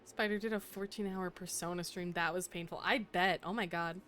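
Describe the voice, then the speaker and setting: A woman's voice: on the low side, steady tone, fairly quick, a bit bright, slight background noise. A young adult woman streaming a game, chatting casually in a playful, teasing way and laughing often.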